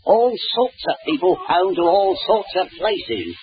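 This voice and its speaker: Sly, higher-voiced pub crook. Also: male voice, sing-song, a little slow. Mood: sly, insolent. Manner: mock-polite, insolent. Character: sly, evasive ex-convict in a seedy London pub. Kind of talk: 1940s radio crime acting. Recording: muffled, faintly noisy old radio recording.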